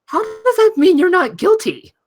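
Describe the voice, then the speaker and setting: A woman's voice: very high-pitched, wildly swooping pitch, normal speed, exaggerated voice. A woman voicing a stern, indignant judge losing all patience in a comedy script table read. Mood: exasperated. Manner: indignant, scolding.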